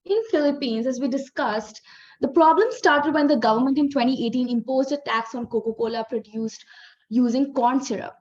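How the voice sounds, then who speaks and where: A woman's voice: high voice, normal speed, darkish tone. A young woman, a student presenter, speaking over a shared microphone on a video call. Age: young adult. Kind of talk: formal case presentation. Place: indoor room, on a video call. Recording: dull video-call audio.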